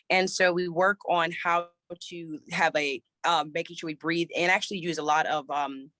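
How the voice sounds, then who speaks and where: A woman's voice: low voice, sharp tone, no room sound. A woman choir director and voice teacher, a little scratchy from a recent cold, answering interview questions thoughtfully with many restarts.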